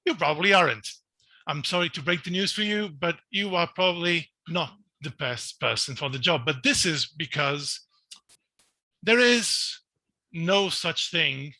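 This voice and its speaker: Bright-voiced man with a Portuguese accent. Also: on the high side, dry recording. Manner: earnest, self-questioning, frequent restarts and fillers. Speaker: researcher giving a congress talk. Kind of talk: recorded online conference talk.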